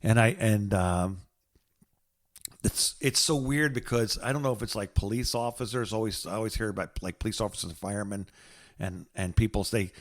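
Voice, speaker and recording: A middle-aged American man co-hosting a podcast in casual chat, halting and rambling, often repeating words and trailing off mid-thought. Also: on the low side. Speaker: podcast co-host. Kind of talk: casual phone-call chat.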